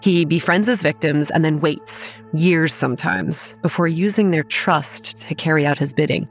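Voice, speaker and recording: An actress playing a haunted, wary young campground manager, narrating a horror audio drama in a measured, reflective, grave way. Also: female voice, deep voice, soft background music. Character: haunted, wary young woman running a monster-ridden campground. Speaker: narrator and lead character.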